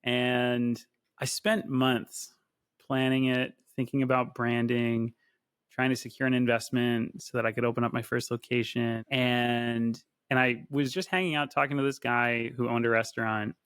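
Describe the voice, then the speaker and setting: A man co-hosting a podcast, telling a candid, animated story in casual talk. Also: medium pitch, moderate pace, clean tone, no room sound, clean studio sound. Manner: animated, candid, swears freely.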